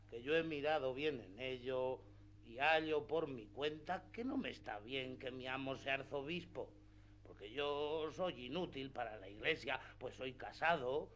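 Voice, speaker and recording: A simple-minded, credulous peasant squire from a classic radio drama. His voice sits a little high for a man, and he rambles on in earnest, pleading eagerness. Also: fairly bright tone, slight background noise. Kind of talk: radio theatre acting of a classic novel.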